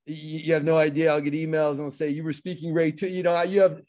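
A middle-aged man speaks with a Pittsburgh accent in a slightly high voice. He talks earnestly in a loose interview style, often repeating words and restarting his sentences. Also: level tone, fast, a little mellow. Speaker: guest, motivational speaker. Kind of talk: casual interview talk.

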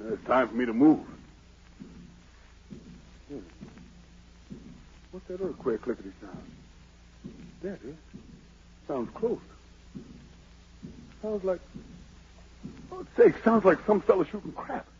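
An actor playing a frightened, boastful fugitive emperor with a gravelly American voice in 1940s radio drama, theatrically muttering to himself and crying out. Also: male voice, on the high side, big pitch swings, a little slow, long pauses. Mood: anxious, weary. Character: fleeing self-made emperor, frightened, boastful, haunted, superstitious fugitive. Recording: noisy, muffled 1940s radio broadcast.

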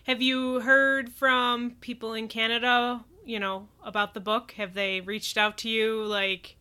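A woman co-hosting a casual interview, asking questions and backing the guest with quick agreement. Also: on the high side, flat delivery, sharp tone, clear, resonant tone. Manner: attentive, lots of backchannel yeahs. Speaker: co-host.

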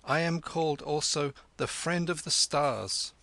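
A man voicing a cunning young street boy who flatters and wheedles. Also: fairly high pitch, a little slow, no room sound, pitched above the performer's own voice. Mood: boastful.

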